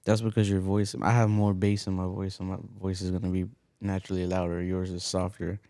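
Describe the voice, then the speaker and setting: A young man co-hosting a casual podcast, asking questions and explaining points in a steady, plain way. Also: sits low, fairly quick, dry recording, clean studio sound. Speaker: podcast co-host. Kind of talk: casual interview chat. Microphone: close studio mic.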